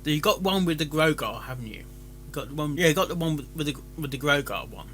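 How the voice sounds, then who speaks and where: A man giving casual, rambling commentary, haltingly repeating and restarting his phrases. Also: a little high, expressive, a bit bright, faint music behind. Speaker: reaction video host reviewing fan fiction.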